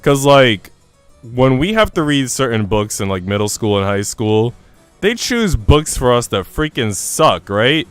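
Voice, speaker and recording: A man's voice: medium pitch, big pitch swings, measured pace, smooth, no echo. A young adult man, chatting informally and slangily to viewers as a live streamer. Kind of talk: casual livestream chat with viewers. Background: music playing.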